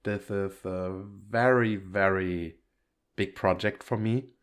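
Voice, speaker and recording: A man with a fairly low voice talks casually to his viewers as an audio creator. He rambles in a giggly way and often corrects himself. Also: steady tone, slow pace, smooth. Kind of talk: casual outro addressed to viewers.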